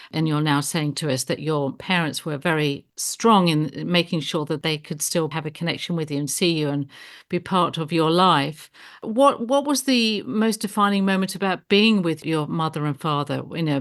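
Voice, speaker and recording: A woman radio host introducing her guest and asking questions in a formal, welcoming way. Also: sits low. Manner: warm formal introduction, brief backchannel replies.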